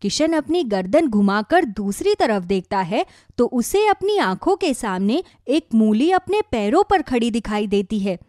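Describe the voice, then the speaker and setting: A woman's voice: a little high, sing-song, measured pace, hardly any pauses, darkish tone, dry recording, studio quality. A woman narrating a scripted moral story for children.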